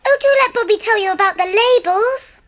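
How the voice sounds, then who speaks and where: A woman's voice: very high-pitched, a little fast, fairly bright tone. An actress playing an earnest, polite, well-spoken young girl with an English accent in a dramatised audiobook. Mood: dismayed.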